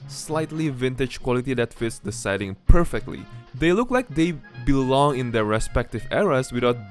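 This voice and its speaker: A man giving opinionated video game review commentary in an informal way, often checking in with tag questions. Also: medium pitch. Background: background music with guitar.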